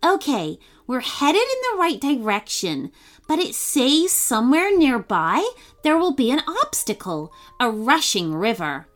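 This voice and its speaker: A woman voicing an excited young girl adventurer who talks in a bubbly, breathless way. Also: high voice, measured pace, full character voice. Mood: determined.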